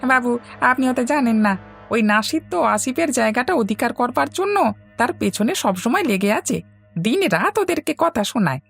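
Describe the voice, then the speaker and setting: Village boss's toady: high-pitched, lively swoops, wheedling and greedy, cartoon delivery over light music. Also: female voice, vocal fry, no echo, full character voice. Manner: obsequious, wheedling. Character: fawning, greedy male henchman of a village boss. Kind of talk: cartoon voice acting. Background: background music.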